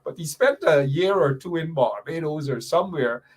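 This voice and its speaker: A man's voice: fairly high pitch, animated, moderate pace, breathy edge. A Guyanese man hosting a radio talk show, delivering fiery, sarcastic political commentary with mocking repetition.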